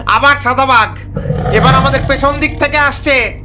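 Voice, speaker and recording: Panicky high-pitched cartoon villager, played by a man. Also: moderate pace, loud music behind the voice. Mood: panicked. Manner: panicky, pleading exclamations. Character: frightened, anxious village man, alarmed by ghostly tigers. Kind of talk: dramatic cartoon voice acting.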